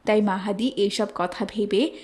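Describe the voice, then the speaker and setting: A woman's voice: mid-range voice, fairly even intonation, a little slow, a little mellow. A woman narrating a scripted moral story for children.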